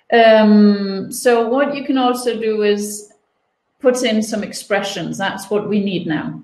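A woman in her thirties presenting an online coding webinar from home over a webcam, explaining steps in a relaxed way with playful comparisons. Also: medium pitch. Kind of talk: online teaching demo. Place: home room, indoors. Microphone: laptop webcam video call. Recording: roomy echo.

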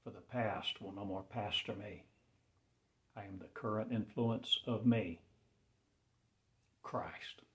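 A man delivering a rambling spiritual proclamation as a self-styled preacher, in solemn, halting fragments that often sink into hushed, near-whispered phrases. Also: on the low side.

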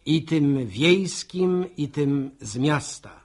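Jovial postman for radio drama: husky, slow, animated, chatty. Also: a little high, exaggerated voice. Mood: genial, folksy. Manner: chatty, folksy, chuckling storyteller. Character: jovial, folksy village postman giving New Year wishes.

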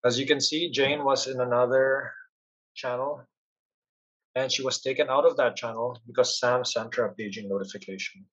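A man presenting a software demo in an instructional, step-by-step explanatory style over a screen share. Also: mid-range voice, fairly even intonation, moderate pace, slightly rough, lo-fi sound.

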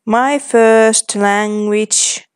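Slow-speaking young woman. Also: medium pitch, fairly even intonation, clean tone. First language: Finnish. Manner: slow, deliberate, reading typed replies aloud. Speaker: vlogger. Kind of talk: casual commentary reading chat aloud.

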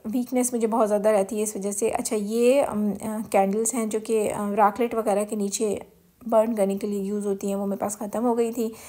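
A woman's voice: mid-range voice, level tone, normal speed, dark tone. A woman with a Pakistani accent giving a casual, chatty home-vlog voice-over, explaining things and mixing in English words. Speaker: home vlogger. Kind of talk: casual grocery haul voice-over.

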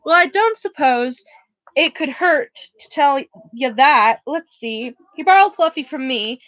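A man's voice: very high voice, animated, measured pace, fairly bright tone, no echo, dull audio, heavily acted. A woman voicing a big, proud, bumbling half-giant gamekeeper in a storybook read-aloud, blustering in rambling bursts. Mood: gruff, chatty.

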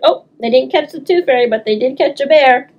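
A woman's voice: high-pitched, a little fast, dull audio. A middle-aged woman doing a children's story time, reading aloud expressively with teacherly asides.

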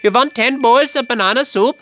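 A woman's voice: a little high, a little fast, a bit bright, soft background music, natural voice. A woman voicing an earnest, polite young boy who runs a hotel in a children's cartoon. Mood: polite, businesslike. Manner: polite, earnest, service-minded.

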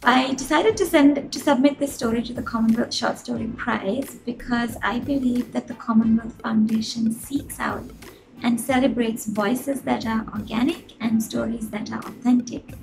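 A woman, a short story writer, speaking earnestly and deliberately about her work. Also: on the high side, steady tone, creaky, low-quality recording. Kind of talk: promotional video testimony. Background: background music.

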